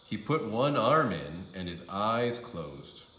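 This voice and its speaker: A man reading a children's story aloud as a kindergarten teacher, with expressive, drawn-out emphasis on key phrases. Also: lowish voice, reverberant. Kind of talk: animated storybook narration for young children.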